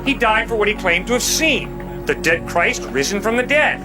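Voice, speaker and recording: A man with a distinctly high voice argues like an impassioned street apologist. He is emphatic and colloquial, leaning on rhetorical questions. Music plays clearly behind him. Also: animated, normal speed. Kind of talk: impassioned open-air apologetics debate.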